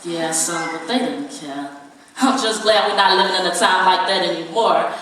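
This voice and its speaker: A woman's voice: fairly low pitch, normal speed, fairly bright tone, natural voice. A woman acting a protective single mother in a stage play, speaking colloquially and with emphasis. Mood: tender, sorrowful. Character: protective single mother, warm with son, defensive with strangers. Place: theatre stage. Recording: very echoey theatre, distant mic, faint noise.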